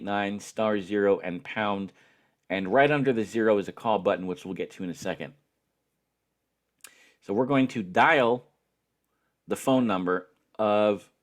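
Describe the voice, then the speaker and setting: A man giving a spoken tutorial as an instructor, explaining each step in a deliberate, patient way. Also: on the low side.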